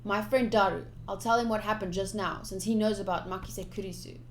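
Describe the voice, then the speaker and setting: A woman's voice: mid-range voice, steady tone, brisk, a little hiss or hum. A woman streamer reading visual novel text aloud, with casual commentary of her own. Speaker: game streamer. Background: game music.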